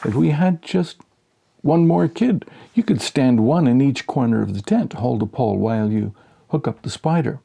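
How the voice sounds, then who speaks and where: A man's voice: medium pitch, animated, normal speed, creaky, warm tone, slightly rough, pitched above the performer's own voice. A man voicing a matter-of-fact, breezily practical wife in a comic audiobook, with a light, musing delivery. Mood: breezy, musing.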